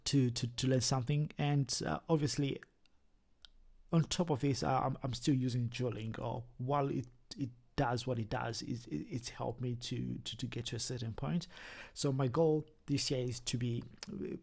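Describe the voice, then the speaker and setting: A young man with a thick Zimbabwean accent walks through a casual tutorial. His voice is gravelly and a bit husky, and he often trips over his words and repeats them before carrying on. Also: medium pitch, steady tone, darkish tone. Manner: halting, many repeated words and restarts. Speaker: YouTuber. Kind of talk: casual screen-share tutorial.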